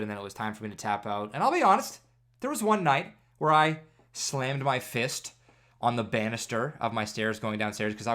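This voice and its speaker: A congested man in his thirties from Canada hosts his own podcast alone, telling self-mocking stories. His voice sits fairly low, and he talks casually into a podcast mic. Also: a bit bright. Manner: self-deprecating, jokey storytelling. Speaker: podcast host. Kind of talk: casual solo podcast monologue.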